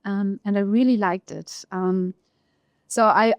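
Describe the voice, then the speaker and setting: A woman with a German accent, a research economist telling her career story in an interview, pausing often with fillers. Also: medium pitch, fairly even intonation, no echo, studio quality. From East Germany. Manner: thoughtful, pauses often with fillers.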